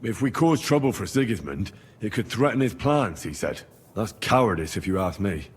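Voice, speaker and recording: A man's voice: lowish voice, big pitch swings, moderate pace, breathy edge, in a large hall. A man playing an earnest, plain-spoken young medieval squire in dramatic video game dialogue. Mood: frank.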